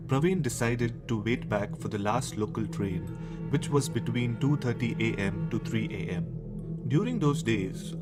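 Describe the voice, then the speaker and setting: Male horror radio host, Indian accent, mid-pitched, dramatic storytelling over prominent music.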